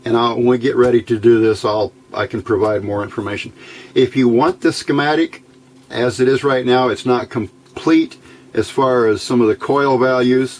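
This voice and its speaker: Man, mid-pitched voice, casual unscripted tutorial, halting delivery, thinking aloud, faint noise in a homemade recording. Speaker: hobbyist radio builder giving a tutorial. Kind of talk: casual unscripted technical explanation. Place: indoors at a worktable. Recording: noisy amateur home recording.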